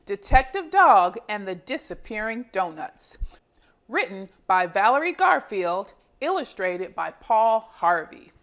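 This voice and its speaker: A woman hosting a children's phonics lesson and storybook read-aloud, enunciating deliberately and expressively as a teacher does. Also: mid-range voice, big pitch swings, a bit bright.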